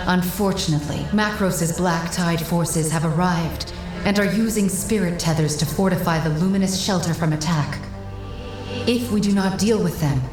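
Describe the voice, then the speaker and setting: Woman acting low-voiced formal female construct guide. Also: lightly acted. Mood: commanding, solemn. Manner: measured, formal, instructive. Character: calm female magical construct, a guiding strategist. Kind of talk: scripted video game dialogue and mission briefing. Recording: roomy echo, loud game music behind.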